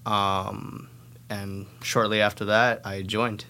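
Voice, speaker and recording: A man who grew up in Thailand talks as a podcast guest. His fairly low voice is smooth and clear, and he speaks in an easygoing, modest way. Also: flat delivery, slow, sharp tone. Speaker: band member guest. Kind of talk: casual interview chat.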